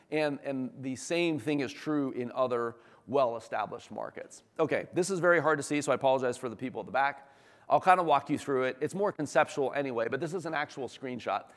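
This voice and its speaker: A man presents to an audience in a large hall with long reverb trailing his words. His voice sits at an average male pitch and is slightly hoarse. He talks like an executive who is keen on the subject, conversational and emphatic. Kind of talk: informal business presentation to an audience. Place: large room, talk before an audience.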